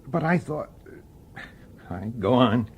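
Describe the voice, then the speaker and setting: A blunt, husky police detective. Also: male voice, mid-range voice, expressive, slow, darkish tone, exaggerated voice. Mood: argumentative. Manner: blunt, incredulous, argumentative. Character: sceptical, blunt, hot-headed human male police detective. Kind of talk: radio drama acting.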